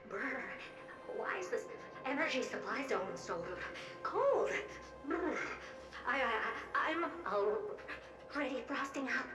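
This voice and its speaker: A woman's voice: sits high, very animated, slow pace, pauses often, heavily acted. A woman voicing a timid, polite talking mirror in a video game, eager, deferential, stammering and easily startled, with a reverberant effect. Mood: shivering, whiny. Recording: echoing, distant-sounding game audio over music.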